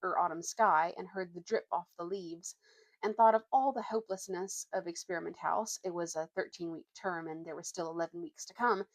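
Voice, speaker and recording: A woman's voice: on the low side, fairly even intonation, brisk, no echo, rough recording. A woman reading a storybook aloud informally, often stumbling and correcting herself as she narrates. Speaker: reader.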